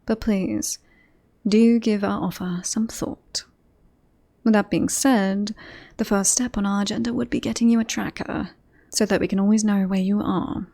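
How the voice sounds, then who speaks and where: A woman playing a devoted bodyguard in an ASMR roleplay, politely reassuring and professional on the surface, with an obsessive streak slipping into hushed intimacy. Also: medium pitch, fairly even intonation, normal speed, gravelly. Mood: soft, insistent. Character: devoted yandere bodyguard woman, composed front over creepy obsession.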